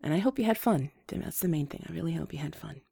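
A woman with a rough voice, hoarse from a flu, hosting a gentle and reassuring advice talk to her listeners. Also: low-pitched, lively intonation, quick pace, dry recording. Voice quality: rough, slightly congested voice. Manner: gentle, reassuring, restarts phrases. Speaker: advice show host.

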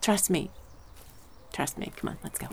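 A woman voice performer chatting gently in an ASMR roleplay, slipping between soft speech and whispers, a little congested from a cold. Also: normal speed, a little hiss or hum.

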